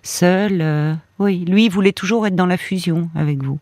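A woman hosting a call-in advice radio show, gently probing and rephrasing what her caller says. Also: fairly low pitch, normal speed, dry recording. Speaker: radio host.

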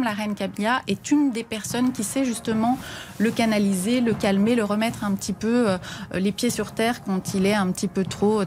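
A woman commenting on the radio, speaking quickly and fluently with some hesitant fillers. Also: mid-range voice, darkish tone. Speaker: studio commentator.

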